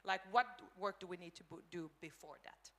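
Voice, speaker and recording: A woman's voice: fairly low pitch, a little fast, background noise. A woman choreographer moderating a panel discussion. She talks in a searching way, building lists and restarting her phrases. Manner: thoughtful, searching with fillers and repeated lists.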